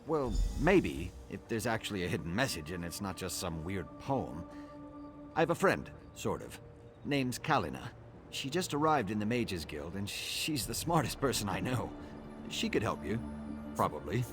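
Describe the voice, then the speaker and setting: A man's voice: fairly low pitch, sing-song, moderate pace, music in the background. An actor voicing a bashful, helpful man in a video game, hesitant and trailing off into afterthoughts. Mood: shy, helpful. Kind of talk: video game character dialogue.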